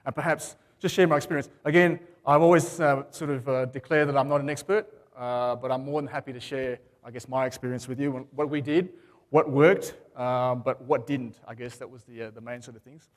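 A man's voice: medium pitch, a little fast. Aussie guy in his 40s giving a conference talk, loose and chatty, lots of ums, lapel mic in a big hall. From Australia. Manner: relaxed, rambling, lots of fillers. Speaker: conference speaker. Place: large conference hall stage.

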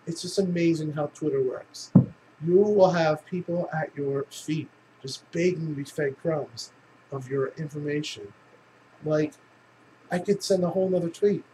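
A man's voice: on the high side, unhurried, dark tone, slight background noise, low-quality recording. A man giving a casual comedic tutorial in a tongue-in-cheek, mock-instructional way with casual swearing. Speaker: tutorial presenter.

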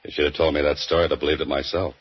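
A man's voice: very deep voice, flat delivery, racing, breathy edge. An actor playing a cool, dry-witted male mystery writer who sleuths, in 1940s radio drama style. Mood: wry. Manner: dry, wisecracking. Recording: muffled 1940s radio broadcast.

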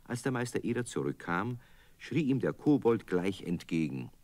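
A man narrating a children's radio play as an expressive, scripted storyteller. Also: on the low side, normal speed, no room sound.

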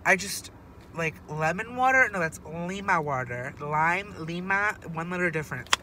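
A man's voice: on the high side, lively intonation, moderate pace, noisy background. A teenage boy co-hosting a casual chat show from a parked car, playful and jokey. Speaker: co-host. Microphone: phone camera on dashboard.

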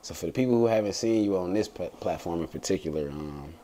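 A man's voice: low voice, lively intonation, fast talker, dark tone. A man hosting an interview, asking easygoing questions and filling with casual asides. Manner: easygoing, fills with "man" and "you know". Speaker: host.

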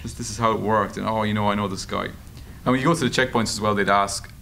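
An Irish man answering interview questions in a halting, informal, anecdotal way at a table microphone. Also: on the low side, gravelly. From Ireland. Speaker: journalist and author being interviewed. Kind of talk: conversational interview answers at a public discussion. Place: meeting room at a table. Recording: steady background room noise.

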